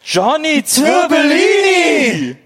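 Man as a reverberant, high, theatrical boy detective. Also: very animated, very slow, a bit bright, heavily acted. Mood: accusing. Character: theatrical, accusing boy detective in a mystery parody. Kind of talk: live comedy radio play acting. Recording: long hall reverb.

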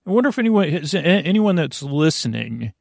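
A man hosting a sleep podcast in a meandering, halting bedtime monologue with self-deprecating asides. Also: fairly high pitch, very animated, vocal fry, a little mellow, no room sound, studio quality.

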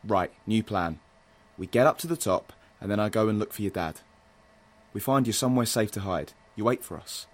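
A man's voice: lowish voice, lively intonation, moderate pace, a little hiss or hum, lightly acted. An actor playing a resolute, protective male rebel fighter in an audio drama, speaking firmly and tersely. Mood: determined. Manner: urgent, firm, terse.

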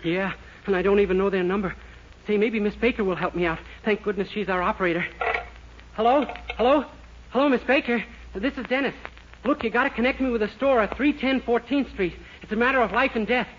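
A man's voice: high voice, a little fast, natural voice. An actor playing a naive, polite and easily confused young man in a 1940s radio comedy, earnest and literal-minded. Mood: flustered. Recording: muffled, very noisy old radio recording.

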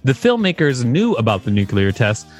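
Brief: male podcast co-host, mid-pitched, reads and riffs with humor, light music bed. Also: big pitch swings, moderate pace. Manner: joking, laughs often. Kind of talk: reading aloud and casual chat.

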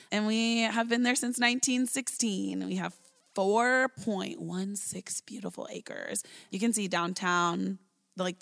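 A woman's voice: medium pitch, creaky, fairly bright tone. A woman in her thirties, an early childhood educator, telling her personal story in an interview, earnest and talky with lots of "like" and "right?".

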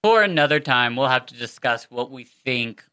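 A woman's voice: very deep voice, animated, normal speed, bright, forceful tone, clear, resonant tone, no room sound. A woman co-hosting a fan podcast in a chatty, effusive, informal way. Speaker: podcast co-host. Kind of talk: casual fan podcast chat.

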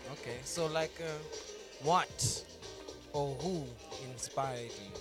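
A man's voice: fairly high pitch, animated, slow, over music. A man hosting a casual interview in an informal, slangy way, probing with follow-up questions. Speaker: podcast host, interviewer.

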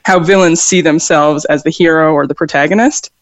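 A woman's voice: deep voice, fairly even intonation, fairly quick, no echo. An American woman from upstate New York and Vermont, speaking as a songwriter in an interview, hesitant, with many fillers and restarts. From upstate New York, raised in Vermont. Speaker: songwriter guest. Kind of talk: interview conversation.

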